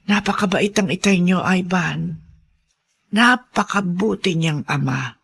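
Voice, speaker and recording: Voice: medium pitch, a little slow, gravelly, a bit bright, breathy edge, full character voice. A performer playing a concerned older neighbour woman in a radio drama, hesitant, confiding and pleading. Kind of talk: Tagalog radio drama acting.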